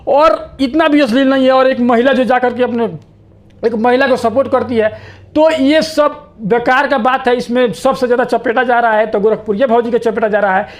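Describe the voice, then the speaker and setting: A man's voice: very high-pitched, steady tone, normal speed. A man giving informal, opinionated news commentary to viewers in a repetitive, insistent way.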